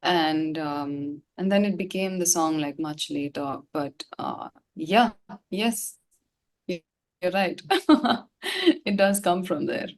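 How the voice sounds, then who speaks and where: Low-voiced Indian woman, candid and casual. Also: takes it slowly. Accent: Indian English. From Gangtok, India. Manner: informal, expressive, reflective, slangy. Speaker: singer-songwriter being interviewed. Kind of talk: casual, candid interview talk.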